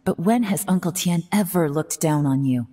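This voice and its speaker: An actress playing a cool, stern female intelligence operative in a video game, with a measured, cutting, self-assured delivery. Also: lowish voice, mellow, natural voice. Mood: stern reproach. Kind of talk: scripted video game dialogue.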